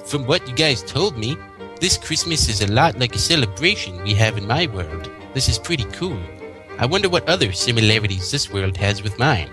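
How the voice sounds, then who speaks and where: A man's voice: medium pitch, big pitch swings, normal speed, over music, natural voice. A man voicing a brash, casual female pegasus pony in an amateur story reading. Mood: curious.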